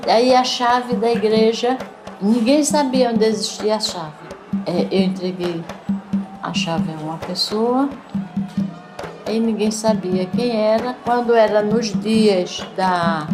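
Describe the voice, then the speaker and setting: A woman with a Recife Brazilian accent giving informal spoken testimony as a member of the community. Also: medium pitch. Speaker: community member recounting memories. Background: music.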